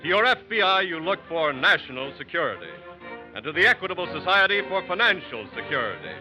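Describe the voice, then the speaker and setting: A man's voice: on the high side, big pitch swings, fast talker. An American man announcing in formal, ceremonious, emphatic 1940s radio style. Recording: muffled old radio broadcast, loud music.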